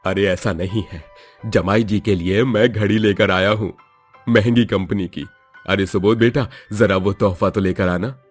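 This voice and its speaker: Guy doing a soft-spoken dad in a cartoon story, lowish voice, polite, faint music. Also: fairly even intonation, measured pace, husky. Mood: earnest. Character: gentle middle-aged father of the bride.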